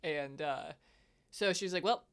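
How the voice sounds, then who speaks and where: A young adult woman from the US West Coast with a Western American accent. She chats casually as a player and co-host, giggly and quick to laugh, with plenty of fillers. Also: low-pitched. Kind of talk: casual chat.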